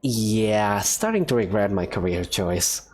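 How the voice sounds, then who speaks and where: A man's voice: lowish voice, expressive, unhurried, husky. A man narrating a fan visual novel as its student hero, hesitant and thoughtful. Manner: hesitant, thoughtful, soft-spoken. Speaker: narrator and player character.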